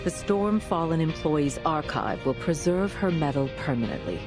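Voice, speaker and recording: A woman's voice: low-pitched, very animated, moderate pace. An actress playing a composed, authoritative female official in a video game, speaking formally and decisively. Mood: solemn, measured. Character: composed, authoritative senior official, a woman in command. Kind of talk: dramatic video game voice acting. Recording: music under the voice. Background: background music.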